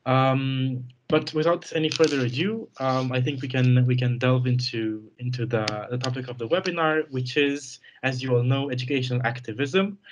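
A man's voice: medium pitch, rough recording. A nineteen-year-old man from Romania giving a webinar talk over a video call, earnest and full of fillers and repeated words. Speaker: guest speaker. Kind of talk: webinar presentation.

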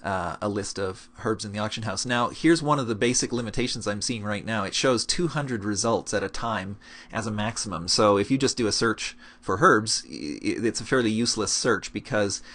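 A man with a fairly low voice talks through an on-screen demo. His delivery is relaxed and explanatory, with plenty of small hesitations as he clicks around. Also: normal speed. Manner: relaxed, explanatory, with many fillers. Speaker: game gold-making guide. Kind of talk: screencast walkthrough.

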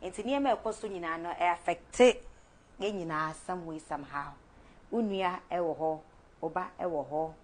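A young Ghanaian woman hosting a studio talk show, lively and encouraging, mixing Twi with English, on a lapel mic. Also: on the low side, normal speed, a little hiss or hum.

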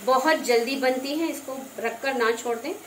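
Home cook, woman, fairly high voice, easy instructive delivery; roomy kitchen with frying sizzle. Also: moderate pace, noisy background. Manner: gentle, instructive, conversational. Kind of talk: cooking demonstration voice-over. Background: sizzling frying pan.

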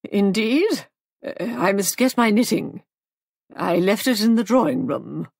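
A woman's voice: medium pitch, expressive, normal speed, vocal fry, slightly rough, no room sound, clean studio sound. Woman playing a haughty, suspicious older lady guest. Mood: startled, flustered. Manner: imperious, snorting, suspicious.

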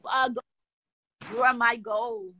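A woman's voice: a little high, very animated, slow pace, pushed and bright, a little hiss or hum. A woman in her thirties hosting a casual video chat, asking playful, teasing questions. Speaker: comedy creator and host.

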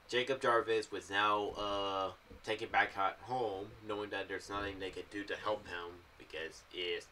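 A young American man giving casual, meandering commentary to camera in a halting, loose way. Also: lowish voice, sharp tone, distant mic, a little hiss or hum. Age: young adult. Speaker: video creator giving theories on an audio drama series. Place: small room indoors. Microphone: boom-arm condenser with pop filter, off to the side.

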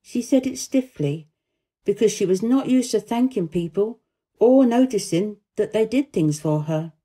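A woman narrating a children's story as an expressive, scripted reading. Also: mid-range voice, a little slow, husky. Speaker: storybook reader, actor.

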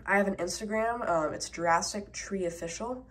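A woman's voice: mid-range voice, moderate pace, fairly bright tone. A woman with a stuffed-up cold casually reviewing a toy, rambling with many fillers, recorded at a tabletop on a camera mic. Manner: rambling, many fillers, talking while handling toys.

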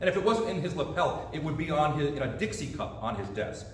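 A man's voice: fairly high pitch, big pitch swings, a little fast, slight background noise. A middle-aged man preaching a storytelling sermon in a church sanctuary, gesturing with both hands as he speaks. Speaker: pastor. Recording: distant echoey sanctuary sound.

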